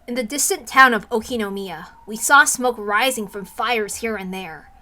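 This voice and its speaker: A woman's voice: fairly high pitch, moderate pace, pushed and bright. A woman streamer reading a story aloud on a livestream, slipping into casual asides.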